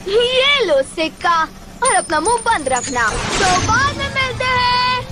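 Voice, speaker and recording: A woman voicing a cocky, mischievous young boy in an animated film dub, chatty, boastful and excitable, with music behind. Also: extremely high pitch, takes it slowly, over a noisy crowd, heavy background noise, full character voice. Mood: cheeky.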